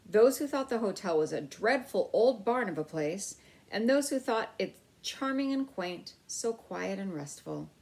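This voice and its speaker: A woman's voice: mid-range voice, animated, a little slow, darkish tone. Woman reading a children's storybook aloud. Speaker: read-aloud narrator. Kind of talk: scripted storybook narration.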